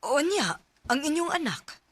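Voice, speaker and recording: A woman's voice: high-pitched, big pitch swings, unhurried, no room sound. A woman with a Cebuano Filipino accent playing a concerned older grandmother in a radio drama.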